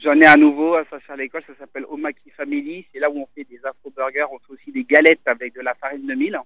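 A man from Italy, a restaurant owner, talking casually on the phone in an interview, relaxed and hesitant with many fillers. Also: fairly high pitch, quick pace, no room sound. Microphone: telephone. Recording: tinny phone line.

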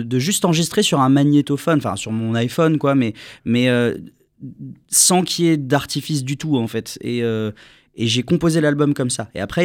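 A young man from France, a singer answering interview questions in a relaxed, rambling way with many fillers. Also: medium pitch, no echo, clean studio sound. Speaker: singer guest.

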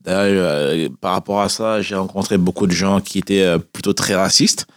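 A man's voice: low voice, steady tone, normal speed, no room sound, clean studio sound. A man in his forties from Cameroon, telling his own story as a radio interview guest in a conversational, repetitive way. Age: 40s. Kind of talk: spontaneous personal storytelling in an interview.

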